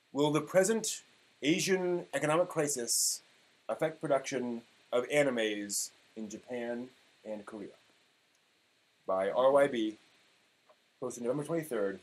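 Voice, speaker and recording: A man in his forties giving a mock-dramatic, emphatic reading of an internet post, halting here and there over the text. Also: medium pitch, low-quality recording. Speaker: performer reading old forum posts. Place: small room at home, indoors.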